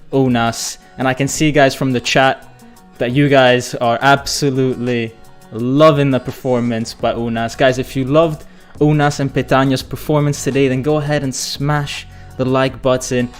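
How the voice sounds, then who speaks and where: A man hosting a live football fan stream, chatty and excitable, hoarse and losing his voice as he reads viewer comments. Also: mid-range voice. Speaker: football channel host.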